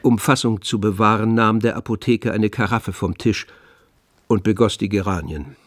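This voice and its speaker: A man's voice: lowish voice, fairly even intonation, moderate pace, no echo, studio quality. A man narrating a literary radio drama as scripted storyteller.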